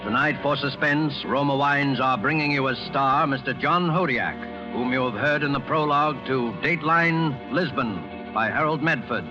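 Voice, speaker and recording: A man's voice: medium pitch, normal speed, few pauses. A man announcing a suspense programme in a formal 1940s radio style. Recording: muffled old radio broadcast with music.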